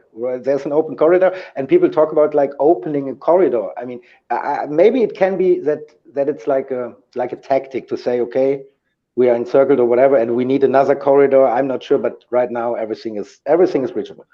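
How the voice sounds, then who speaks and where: A man answering interview questions over a video call, speaking haltingly and restarting his words often. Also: mid-range voice, lo-fi sound. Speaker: guest, aid organizer.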